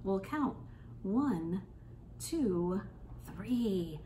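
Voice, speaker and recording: A woman hosting an interactive children's storytime, speaking in an encouraging, sing-song way and pausing with questions for young listeners. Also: mid-range voice, animated, mellow, roomy sound, slight background noise. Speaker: children's storytime librarian and host. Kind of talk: interactive children's storytime, reading aloud and leading songs.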